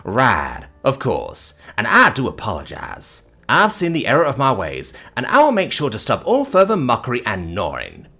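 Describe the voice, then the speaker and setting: Man playing a young father, husky and mock-polite. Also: medium pitch, wildly swooping pitch, normal speed, hardly any pauses, pushed and bright, faint music behind, heavily acted. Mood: mock-deferential. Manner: hesitant, mock-deferential, oddly worded speech. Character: Levi, a young father, polite but slyly mocking.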